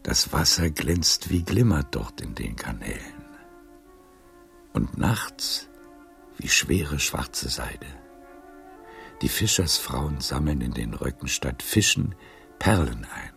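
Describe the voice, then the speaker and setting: Gravelly, very deep old Casanova. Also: male voice, sing-song, a bit bright, soft background music, heavily acted. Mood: wistful, dreamy. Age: older. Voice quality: husky, gravelly, breathy murmur. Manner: slow, halting, savouring storytelling. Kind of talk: intimate radio drama acting.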